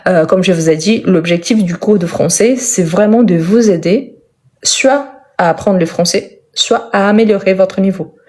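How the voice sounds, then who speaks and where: A Brazilian-born woman whose first language is Portuguese, teaching French in a live online lesson, speaking slowly and simply and often repeating words for emphasis. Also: medium pitch, darkish tone. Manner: slow, careful, simple speech for learners.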